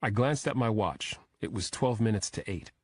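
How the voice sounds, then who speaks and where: Low-voiced man narrating a thriller audiobook, close and dry. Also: animated, moderate pace, a little mellow, dry recording. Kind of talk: scripted first-person thriller narration, audiobook.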